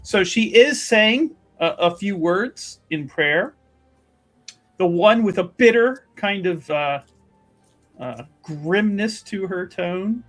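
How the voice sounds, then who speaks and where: A man playing a tabletop roleplaying game, narrating his character in halting, deliberate, solemn phrases. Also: high-pitched, expressive, a bit bright, faint music behind.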